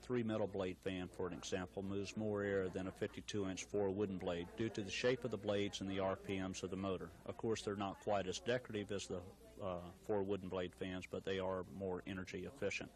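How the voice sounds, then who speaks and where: A man's voice: low-pitched, flat delivery, fairly quick, a little mellow. A man explaining technical details as an interviewed expert. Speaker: ceiling fan expert interviewee.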